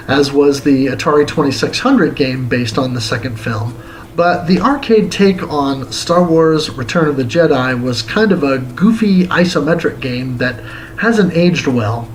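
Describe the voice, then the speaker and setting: A man's voice: fairly high pitch, slight background noise, low-quality recording. A man hosting a retro game review series, reading his scripted review aloud in a theatrical, tongue-in-cheek way.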